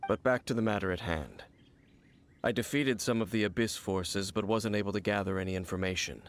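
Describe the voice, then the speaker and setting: A man plays a reserved wandering warrior from a video game. His voice is fairly low, with serious, measured and formal phrasing. A faint rumbling noise sits behind it. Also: close to an everyday voice. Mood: guarded, serious.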